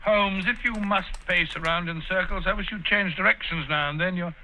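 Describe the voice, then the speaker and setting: A man's voice: high voice, brisk, natural voice, low-quality recording. An actor playing a genial, fussy older male doctor in a 1940s radio drama, with a bumbling, chuckling manner.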